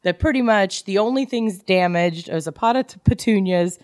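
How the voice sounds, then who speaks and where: A woman's voice: mid-range voice, normal speed, darkish tone, dry recording. A woman co-hosting a book club podcast, casually retelling a plot in a jokey, salty-tongued way. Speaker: podcast co-host.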